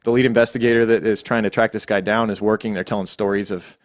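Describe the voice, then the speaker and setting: A man's voice: on the low side, fairly even intonation, quick pace. A man in his thirties from Las Vegas, co-hosting a true crime podcast and telling stories in a loose, rambling way full of fillers. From Las Vegas, USA. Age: 30s. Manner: rambling, filler-heavy storytelling. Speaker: podcast co-host. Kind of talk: casual chat.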